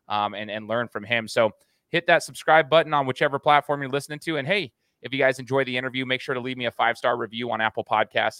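Bright, forceful American man hosting casually. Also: medium pitch, no echo. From United States. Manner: informal, buddy-like address. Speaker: podcast host. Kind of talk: casual, chatty interview hosting.

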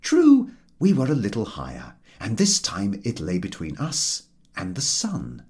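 Male narrator, comic novel reading, expressive, wide swinging intonation, slightly husky. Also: lowish voice, a little slow. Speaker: audiobook reader.

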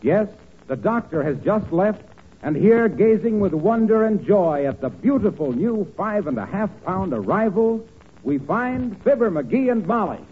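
A tinny 1940s radio recording of a male announcer. His fairly high voice rises and falls freely as he delivers polished, courteous, folksy sales copy. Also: animated, measured pace, slight background noise.

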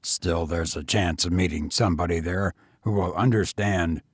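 A man voicing a weary, bitter gentleman tramp who speaks grimly and quietly. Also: low voice, moderate pace, breathy edge, no echo, clean studio sound, voice pushed down. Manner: grim, subdued, clipped.

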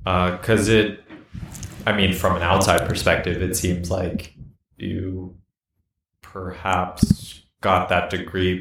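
Gravelly male interviewer in an echoey room. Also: sits low. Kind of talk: casual conversational interview. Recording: roomy echo, noticeable noise.

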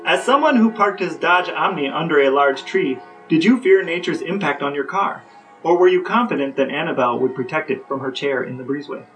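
Slightly distant, higher-voiced man, mock-serious. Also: a little fast, a bit bright, soft background music. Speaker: podcast co-host. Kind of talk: casual chat, tongue-in-cheek interviewing.

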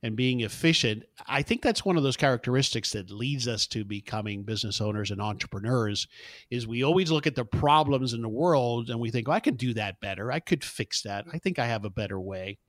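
A man's voice: medium pitch, a little fast, no room sound. A man in his fifties who grew up in South Florida hosts an interview. He asks his questions in a friendly, conversational way, and his voice is recorded close and dry, with no room sound. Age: 50s. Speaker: podcast host. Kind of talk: casual interview chat.

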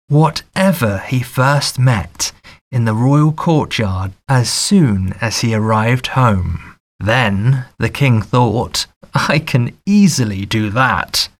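A man narrating a fairy tale audiobook in a measured, deliberate storytelling manner. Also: mid-range voice.

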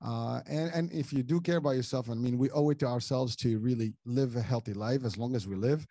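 A man's voice: medium pitch, fairly quick, warm tone, dry recording, clean studio sound. A middle-aged man from Morocco hosting a casual interview, talking in long rambling sentences full of restarts and repeated words. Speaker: podcast host.

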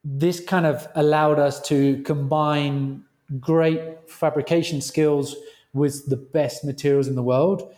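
British man, mellow voice, halting. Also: on the high side, steady tone. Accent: British English. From Britain. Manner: halting, restarts phrases often. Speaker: guest, clothing company founder. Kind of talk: remote interview. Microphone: remote call.

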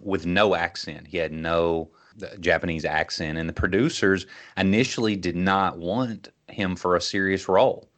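Male podcast host, low voice, measured and orderly when discussing movies. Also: fairly bright tone. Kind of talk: film discussion with prepared plot recap.

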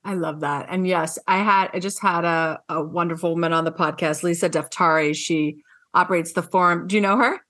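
A woman's voice: fairly low pitch, steady tone, fairly quick, a bit bright. A woman hosting a podcast interview, effusive and affirming in how she talks. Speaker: podcast host. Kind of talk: scripted guest introduction, then casual interview chat.

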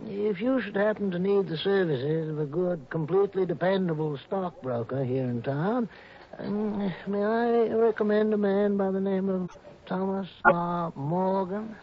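An old retired judge weighs each word in a careful, lawyerly way, with a fairly high voice for a man. The sound is dull and muffled, like an old radio broadcast. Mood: measured. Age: older. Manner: deliberate, hedging, lawyerly. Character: retired old male judge, measured and discreet. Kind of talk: 1960s radio drama acting.

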